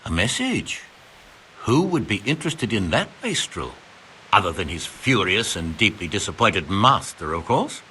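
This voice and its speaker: Game role: older male engraver, learned, sardonic, ornate phrasing, crisp forward tone, hugely varied melody. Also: fairly low pitch, very animated, moderate pace, bright, forceful tone, a little hiss or hum. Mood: disdainful, sardonic. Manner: verbose, dryly sarcastic, ornate phrasing. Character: wry, learned older master engraver, exasperated with apprentice. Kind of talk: video game dialogue acting.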